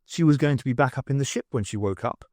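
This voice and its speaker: A man reading a novel aloud as an expressive audiobook narrator, in an animated storytelling manner. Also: medium pitch, fast, no echo, clean studio sound. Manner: animated storytelling with acted dialogue.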